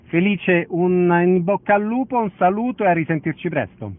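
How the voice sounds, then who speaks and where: Higher-pitched male interviewer, phone-like sound. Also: moderate pace. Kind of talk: radio interview. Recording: narrow phone-like sound, faint noise.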